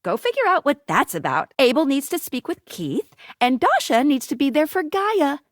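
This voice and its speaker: The chirpy, wisecracking companion who hands out quests in a dating video game, swooping melodically, recorded close and dry. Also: female voice, high voice, normal speed, dry recording. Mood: playful, informative. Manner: playful, punning, animated. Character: perky, quippy, helpful female guide to dateable household objects. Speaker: voice actress playing a game guide character. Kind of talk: scripted video game character dialogue.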